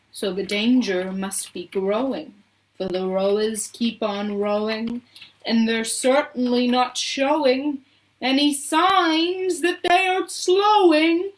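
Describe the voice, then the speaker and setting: A woman's voice: fairly high pitch, slight background noise, natural voice, low-quality recording. A woman voicing an exuberant, eccentric male chocolate-maker in a storybook, with a theatrical, commanding manner. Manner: theatrical, exclamatory, chanting.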